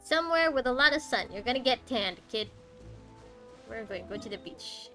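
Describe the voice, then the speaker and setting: A woman's voice: fairly high pitch, expressive, moderate pace, music in the background. A woman doing casual live game commentary, reacting aloud in short muttered remarks. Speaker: game streamer.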